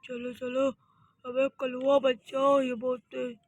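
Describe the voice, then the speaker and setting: A woman voicing a sneaky male burglar who mutters to himself in a hushed, grumbling way. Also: high-pitched, monotone, slow pace, no room sound, voice pushed down, full character voice, poor audio.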